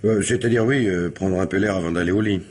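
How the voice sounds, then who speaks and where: An actor playing a wronged husband suspected of murder, guarded, hesitant and protesting, in a French radio crime drama. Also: male voice, sits low, fast talker, a little mellow, close to an everyday voice. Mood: guarded, uneasy.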